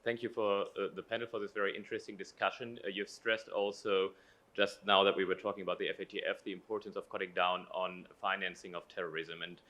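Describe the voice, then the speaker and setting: A man asks a formal question at a panel event. His voice is on the low side and stays flat in pitch. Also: fast talker. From Germany. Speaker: audience questioner.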